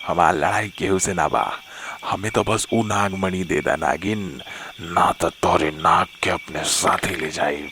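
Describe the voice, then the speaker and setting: Deep, gravelly evil sorcerer. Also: male voice, sharp tone, a little hiss or hum, full character voice. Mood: gloating menace. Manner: gloating, drawn-out taunts with villainous laughter. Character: wicked, arrogant male sorcerer, menacing and mocking.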